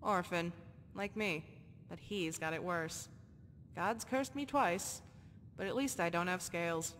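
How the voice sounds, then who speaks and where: A woman's voice: measured pace, a bit bright. A blunt orphan street girl. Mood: gruff. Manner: clipped, terse street talk. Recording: game audio with long reverb and faint rumble.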